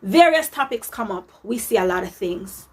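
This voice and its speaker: A woman's voice: medium pitch, animated, normal speed, breathy edge. A woman with a Jamaican accent giving her opinion casually and assertively, slipping into patois.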